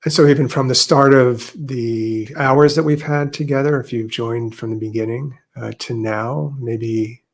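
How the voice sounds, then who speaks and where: A man leading a guided meditation as a teacher, speaking gently and unhurriedly with long pauses. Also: medium pitch, steady tone.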